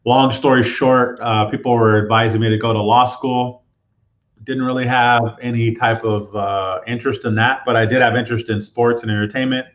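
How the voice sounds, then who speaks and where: A man from Southern California, a guest telling his background in a relaxed, roundabout way. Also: mid-range voice, normal speed, echoey room, dull audio. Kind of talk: casual interview storytelling.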